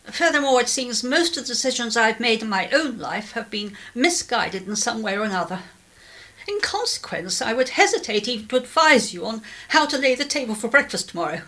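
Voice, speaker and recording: A woman's voice: a little high, big pitch swings, a little fast, sharp tone. An actress playing a genteel, regretful middle-aged clergyman's wife in a 1930s radio drama, speaking in a formal, hesitant way. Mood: formal, dejected.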